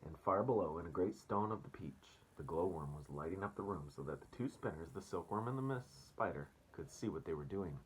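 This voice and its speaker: A man's voice: deep voice, expressive, a little fast, hardly any pauses, slight background noise, rough recording. A man reading a storybook aloud as a teacher, expressively, and addressing children casually.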